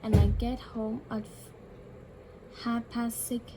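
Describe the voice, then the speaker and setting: A woman practising English by repeating a dialogue line by line, slowly and carefully, with occasional self-corrections. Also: on the high side, flat delivery, loud music behind the voice, low-quality recording. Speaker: English learner.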